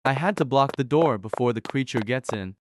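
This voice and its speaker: A man's voice: mid-range voice, expressive, a little fast, darkish tone, slight background noise, close to an everyday voice. A man playing a frightened man hiding at home in a scripted horror drama. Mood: alarmed.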